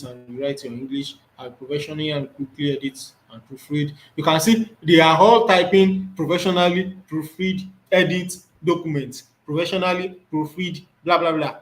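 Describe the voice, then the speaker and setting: A man's voice: on the high side, poor audio. Man teaching a screen tutorial. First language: Yoruba. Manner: thinks aloud, repeats words while reading off the screen. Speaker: instructor.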